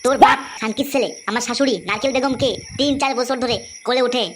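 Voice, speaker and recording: A woman's voice: sits high, fairly even intonation, quick pace, slight background noise, close to an everyday voice. A woman voicing a crude, menacing kidnapper out for revenge, hurling accusations and threats in a comic cartoon. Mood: accusing. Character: vengeful, foul-mouthed kidnapper threatening a captive.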